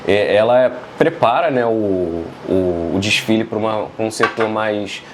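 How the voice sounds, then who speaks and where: A Brazilian man explaining the meaning of samba lyrics in a measured way, often tagging "né?". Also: fairly low pitch, a little hiss or hum. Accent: Brazilian Portuguese. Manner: measured, explanatory, many "né?" tags.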